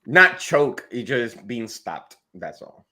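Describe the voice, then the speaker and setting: Bright-voiced man, playfully arguing. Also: mid-range voice, big pitch swings. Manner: playful, argumentative, measured. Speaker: podcast co-host. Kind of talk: casual chat.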